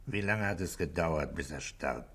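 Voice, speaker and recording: Deep voiced chief inspector, forceful sharp tone, terse probing questions, 60s german radio play. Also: male voice, expressive, moderate pace, slightly rough, full character voice. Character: authoritative, patient, probing male police chief inspector.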